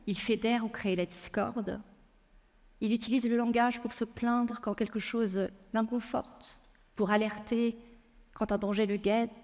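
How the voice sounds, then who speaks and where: A woman lawyer giving a prepared public talk, telling a personal story in a measured way with frequent hesitations. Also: mid-range voice, darkish tone, big-room sound. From France. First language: Persian. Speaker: lawyer and speaker.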